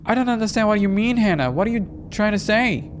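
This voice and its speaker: Performer as a desperate, high-pitched frightened young woman. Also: lively intonation, brisk, a little hiss or hum, lightly acted. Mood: desperate, pleading. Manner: urgent, pleading exclamations.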